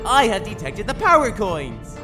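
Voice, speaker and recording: A woman's voice: medium pitch, very animated, moderate pace, music in the background, close to an everyday voice. A woman voicing a frantic, fawning minion who serves a space villainess in an audio drama. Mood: servile, urgent. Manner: fawning, deferential.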